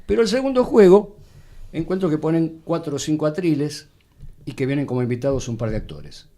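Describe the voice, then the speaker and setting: A man's voice: fairly high pitch, animated, brisk, dark tone. A mature man with a Buenos Aires area Argentine accent, telling anecdotes conversationally as an interview guest, thinking aloud as he goes. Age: middle-aged or older. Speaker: guest, radio actor and announcer.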